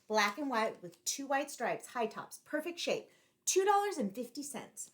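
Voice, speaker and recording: A woman's voice: high-pitched, big pitch swings, a little slow, a bit bright. Woman reading a children's story aloud. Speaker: teacher reading a picture book aloud. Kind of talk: expressive children's read-aloud narration.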